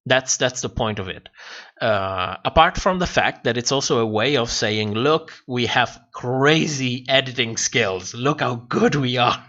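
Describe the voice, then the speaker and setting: A man's voice: medium pitch, a little slow, fairly bright tone, lo-fi sound. A man hosting a casual livestream, answering viewer comments in a rambling way and repeating phrases as he thinks aloud. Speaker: livestream host.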